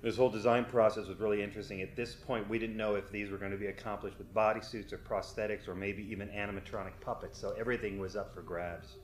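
A man's voice: lowish voice, flat delivery, fast talker, hardly any pauses, a little hiss or hum. A middle-aged man, an effects artist, explaining his past work in an interview. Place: indoor room, seated interview. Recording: echoey room, faint music.

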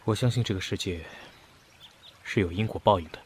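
An actor playing an educated, calm, kind-hearted official on the run in a wartime audio drama. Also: male voice, low-pitched, fairly quick, slightly rough. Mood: measured. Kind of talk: Chinese audio drama acting.

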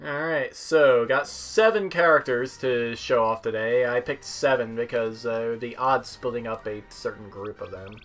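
A young man giving casual, offhand gameplay commentary as he demos game characters, with a hesitant, halting delivery. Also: medium pitch, a bit bright. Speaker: gamer demoing video game characters. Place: indoor room at home, seated. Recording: game music behind the voice. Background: video game music.

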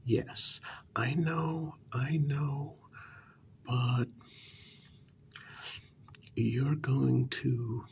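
A man doing a soft-spoken, often whispered ASMR role-play as a coaxing, placating talent agent, with deliberate, halting phrasing. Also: medium pitch, steady tone, creaky, a little hiss or hum. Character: persuasive, placating talent agent to supernatural creatures.

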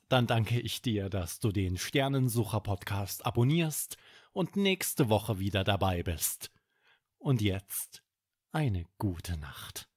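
A man hosting a children's podcast, narrating a bedtime story in an expressive, dramatic way. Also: lowish voice, slightly rough, no echo, clean studio sound.

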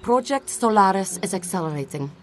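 A woman's voice: medium pitch, animated, fairly quick, a little hiss or hum, lightly acted. A woman playing a capable Soviet spy in a video game, with a Russian accent and a composed, dry delivery. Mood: dryly businesslike. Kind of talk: video game cutscene acting.

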